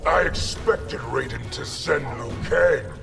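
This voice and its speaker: A brutal video game warlord, imperious and menacing. The delivery is slow and gravelly, with big swings in pitch, and music plays behind it. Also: male voice, lively intonation, recorded from a distance, heavily acted. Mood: contemptuous. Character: brutal, arrogant male warlord emperor. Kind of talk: fighting game dialogue.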